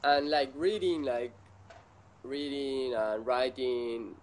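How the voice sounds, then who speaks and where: A man who speaks English as a second language, talking casually as an interview guest in a halting, repetitive way with many fillers. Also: medium pitch, fairly even intonation. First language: not English.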